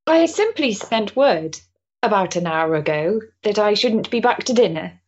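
A woman's voice: mid-range voice, expressive, a little slow, breathy edge, dull audio. An actress playing a sensible, kindly married Victorian woman in a period stage drama, polite and matter-of-fact. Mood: concerned.

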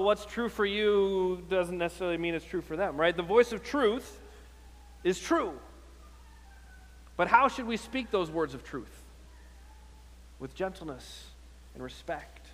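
A man's voice: sits high, a little slow, pauses often, a bit bright. A man preaching a sermon as a pastor, earnest and exhortative, posing rhetorical questions and often repeating his phrases. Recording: long hall reverb on sermon audio.

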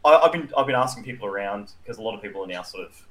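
A man's voice: fairly low pitch, lively intonation, very fast, fairly bright tone, low-quality recording. A man co-hosting a podcast, chatting casually and giving his opinions with a wry, cynical manner. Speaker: podcast co-host.

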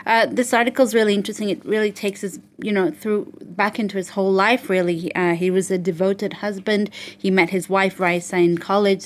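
A woman delivers a press review as a broadcast journalist. Her voice is medium in pitch, and she moves between prepared summary and looser explanation. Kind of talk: semi-scripted press review.

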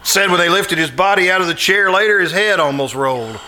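A man acting an animated, scheming, nervy radio-suspense man. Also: sits high, brisk, heavily acted. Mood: morbid relish. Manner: theatrical, coaxing, insistent. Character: scheming, nervy actor Martin Ames, morbid and insistent. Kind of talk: live stage re-creation of 1940s radio suspense. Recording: live performance recording with music behind.

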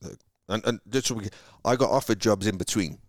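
A man from Wales with a Welsh accent, chatting casually about his sales career in a halting, rambling way, with many restarts. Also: lowish voice, dry recording, studio quality. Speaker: car salesman guest. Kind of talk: casual conversation.

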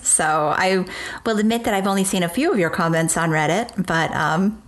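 A woman's voice: lowish voice, moderate pace. A woman from the East Coast of the United States, co-hosting a casual podcast and reading out listener messages in a friendly way. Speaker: podcast co-host. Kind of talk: casual chat.

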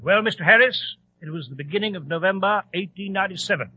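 A tinny, narrow-band vintage radio recording carries an excitable English gentleman with a British accent. He is earnest and exclamatory, a loyal companion easily flustered, and his voice sits high with a husky edge. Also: quick pace, no echo. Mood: storytelling. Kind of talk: 1940s radio drama acting.

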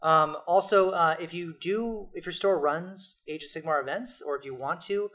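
A man co-hosting a casual gaming podcast, excitable and full of fillers. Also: on the high side. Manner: fast, excitable, many fillers. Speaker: podcast co-host. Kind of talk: casual chat about tabletop gaming.

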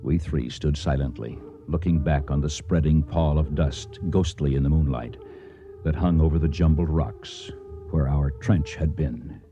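A man's voice: rumbling low, unhurried, music in the background. An actor playing a weary male archaeologist in a radio drama, recounting a tragic dig. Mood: solemn, haunted. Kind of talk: radio drama acting with first-person narration.

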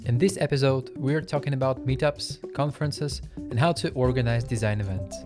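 A man's voice: medium pitch, normal speed, music in the background. A man hosting a podcast in a conversational style. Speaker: podcast host.

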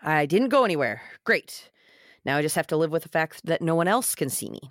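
A woman's voice: deep voice, animated, fairly quick, dry recording, studio quality. A woman playing a sulky, sarcastic young man who feels left out, in a podcast table read of a script.

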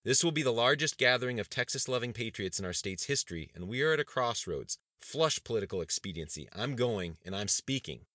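A man reading a novel aloud, voicing a stubborn, forceful male governor who insists loudly. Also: mid-range voice, lively intonation, a little fast, few pauses, no echo, clean studio sound, voice pushed up. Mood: adamant. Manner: loud and insistent.

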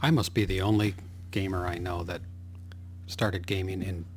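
A man's voice: deep voice, steady tone, normal speed, darkish tone. Self-deprecating man in his early fifties. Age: early 50s. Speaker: podcast co-host. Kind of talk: casual chat.

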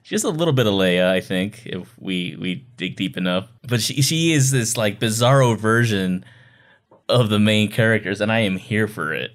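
A man's voice: fairly low pitch, animated, normal speed. A man co-hosting a podcast, talking in an enthusiastic, conversational way in a casual book club chat. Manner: enthusiastic, conversational fan talk. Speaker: podcast co-host.

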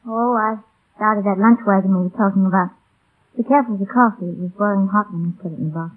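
Through a thin, muffled vintage radio signal comes an actress as a young woman in love. Her voice is husky and emotional, stubborn yet anxious, played in earnest 1930s radio drama fashion. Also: medium pitch, fast talker, natural voice. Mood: casual, wistful. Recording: muffled narrow-band vintage radio broadcast.

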